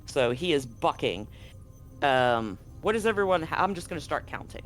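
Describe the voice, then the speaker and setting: A man's voice: fairly high pitch, big pitch swings, normal speed, fairly bright tone. A man narrating a live tabletop game as game master, conversational and explanatory. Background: background music.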